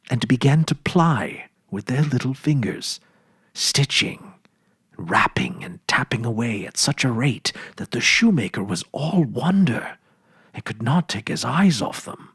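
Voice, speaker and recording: Male narrator, dramatic and expressive, with a slightly rough husky tone in a dry, close recording. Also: mid-range voice, no room sound. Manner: expressive, theatrical reading. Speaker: storyteller and show host. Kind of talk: dramatic scripted storytelling.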